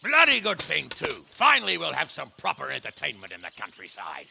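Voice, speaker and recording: A man's voice: a little high, huge pitch swings, brisk, creaky, background noise, exaggerated voice. An actor playing a boisterous, battle-hungry medieval nobleman in a video game, with a hearty, blunt, swaggering manner. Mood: gleeful, confident. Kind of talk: dramatic video game cutscene acting.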